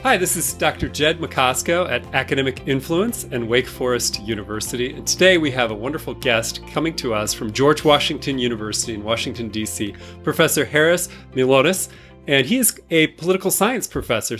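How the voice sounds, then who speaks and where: A man's voice: medium pitch, a bit bright. A man hosting an academic interview, introducing and questioning his guest conversationally.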